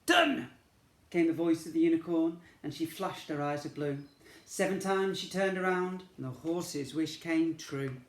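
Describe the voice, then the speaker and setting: A male teacher reading a picture book aloud to young children, gently, with asides to them. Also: fairly high pitch, moderate pace, reverberant.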